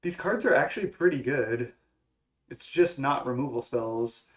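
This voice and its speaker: A man's voice: medium pitch, animated, moderate pace. A young man with a stuffy, congested voice, casually talking through his card game choices and thinking aloud with lots of fillers. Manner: thinking aloud, hesitant with many fillers and restarts. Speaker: gaming content creator.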